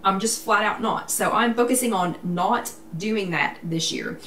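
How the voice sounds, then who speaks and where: Woman vlog host, casual live chat, emphatic and repetitive, mid pitch, roomy sound from a distant mic. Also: measured pace, fairly bright tone. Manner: chatty, emphatic, repeats herself. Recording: echoey room, distant mic, faint noise.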